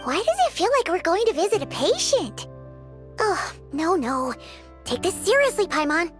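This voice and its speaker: A woman voicing a small, cheerful, excitable childlike fairy companion in a video game, speaking expressively. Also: very high-pitched, moderate pace, music in the background. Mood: flustered. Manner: refers to herself in the third person, expressive.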